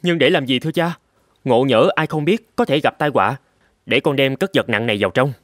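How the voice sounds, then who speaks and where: A man voicing a gentle, courteous young samurai, the considerate eldest son in a cartoon. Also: medium pitch, a little fast, no room sound, clean studio sound, close to an everyday voice. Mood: concerned. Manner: polite, considerate. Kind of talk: dubbed cartoon storytelling. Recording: loud music under the voice.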